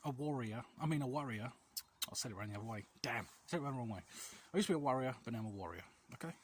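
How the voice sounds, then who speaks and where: A man's voice: medium pitch, animated, rapid-fire. Hesitant man rambling in a noisy outdoor recording. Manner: hesitant, rambling, self-deprecating. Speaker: vlog host. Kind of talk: casual walking vlog, confessional chat. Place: outdoors, quiet street and alleys.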